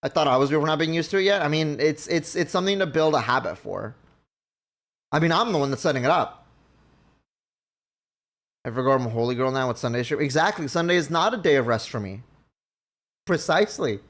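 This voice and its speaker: Higher-voiced man rambling on stream. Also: animated, brisk, long pauses, a bit bright. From Mexico. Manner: rambling, thinking aloud with many restarts. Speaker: livestream host. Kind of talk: casual chat with viewers.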